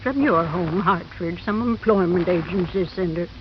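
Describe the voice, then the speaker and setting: A woman's voice: on the low side, normal speed, natural voice. A woman playing a chatty, fretful older small-town woman, sympathetic and confiding, in 1950s radio drama style. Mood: gossipy, helpful. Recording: muffled, noisy vintage radio recording.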